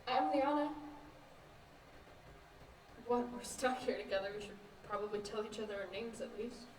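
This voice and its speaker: A young woman plays a tentative, polite girl who can turn testy, in a student stage drama. Her voice is fairly high, and it is captured from far off in an echoey, noisy room. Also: moderate pace, close to an everyday voice. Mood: tentative, friendly. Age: young adult. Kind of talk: student stage play acting.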